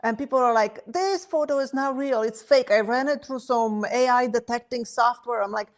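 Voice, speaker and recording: A woman's voice: fairly high pitch, normal speed. A woman chatting casually on a live show, telling an anecdote with lots of "like.". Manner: anecdotal, filler-heavy "like". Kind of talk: casual livestream chat.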